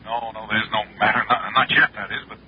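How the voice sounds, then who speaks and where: A man's voice: lowish voice, steady tone, quick pace, close to an everyday voice. Male actor as urgent insurance official, insistent, secretive, vintage radio drama, dull recording, slight background noise. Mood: flustered, urgent. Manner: agitated, insistent, repeating himself. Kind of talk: 1960s radio drama acting.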